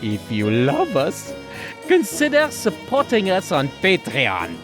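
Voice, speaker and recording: A woman reads the show's credits at an unhurried pace, her pitch moving in a lively way. A clear music bed plays under her voice. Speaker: credits announcer. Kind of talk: scripted credits reading.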